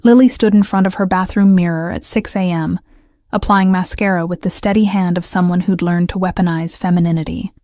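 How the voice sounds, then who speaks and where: A woman narrating a romance drama story in a measured, even manner. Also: on the low side, no echo.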